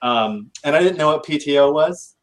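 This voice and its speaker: An American man, a military veteran working in recruiting, talking casually on a panel show with many restarts, growing animated when telling a story. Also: a little high, moderate pace.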